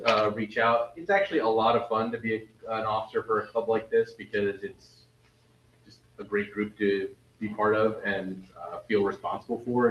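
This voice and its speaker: A man's voice: medium pitch, sharp tone, a little hiss or hum. A man serving as a club officer makes wry, conversational meeting announcements. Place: meeting room. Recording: roomy, distant, dull meeting recording.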